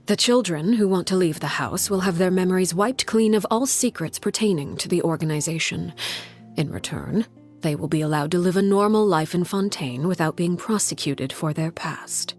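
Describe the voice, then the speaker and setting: A woman voicing a stern, solemn leader in a video game, speaking in a grave, measured, hushed way. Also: fairly low pitch, lightly acted. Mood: calm, firm. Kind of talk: dramatic video game acting.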